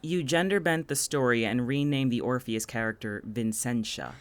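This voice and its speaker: A man acting as a stubborn, sardonic young man in a naturalistic audio drama. His delivery is quiet and dry, with wry sarcasm over a wounded undertone. The pitch sits in an ordinary male middle range. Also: normal speed, natural voice. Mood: teasing, deadpan.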